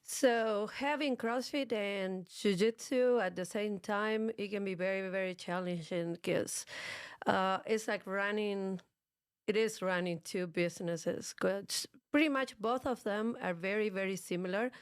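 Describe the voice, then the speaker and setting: Smooth-voiced woman with Argentine accent. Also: medium pitch, unhurried, no echo, clean studio sound. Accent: Argentine Spanish. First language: Spanish. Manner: repeats words, laughs at herself. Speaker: guest, gym coach. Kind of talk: casual interview chat.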